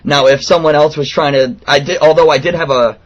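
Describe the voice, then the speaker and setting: A man in his late twenties making an earnest, persistent complaint over the phone in a halting, informal way on a lo-fi recording. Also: a little high, fairly even intonation. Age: late 20s. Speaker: radio show host calling in a complaint. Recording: dull, lo-fi phone call recording.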